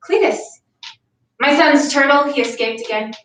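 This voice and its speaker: A woman's voice: high voice, normal speed, a bit bright. A woman in a staged reading playing an exasperated, sarcastic neighbor and mother, with dry, emphatic delivery. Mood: irritated. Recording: echoey, distant, faintly noisy room.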